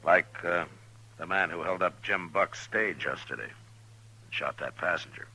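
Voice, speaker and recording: An actor playing a steady, watchful marshal in an old-time radio western, speaking in a measured, probing, laconic way. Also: male voice, deep voice, husky, exaggerated voice, rough recording. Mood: probing, casual. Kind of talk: old-time radio western drama, partly first-person narration. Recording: old radio recording with music.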